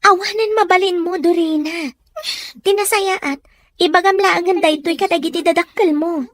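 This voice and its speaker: A woman's voice: extremely high pitch, normal speed, dry recording. A woman voicing a concerned, frank teenage schoolgirl friend in an audio drama, with an Ilocano Filipino accent. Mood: scolding, advising.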